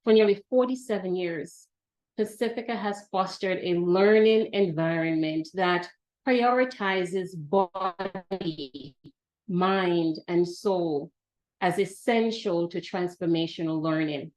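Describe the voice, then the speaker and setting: An older woman with a Jamaican accent gives a prepared, inspirational welcome speech as an institute leader over a video call, speaking deliberately and with emphatic repeated phrases. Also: fairly low pitch, darkish tone, rough recording.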